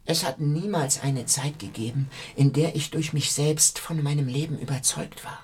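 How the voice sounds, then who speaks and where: An actor playing an odd, needy man who confesses in a halting, politely evasive way in a radio play. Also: a little high, steady tone, a little hiss or hum, natural voice. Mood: confiding. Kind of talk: German radio play acting.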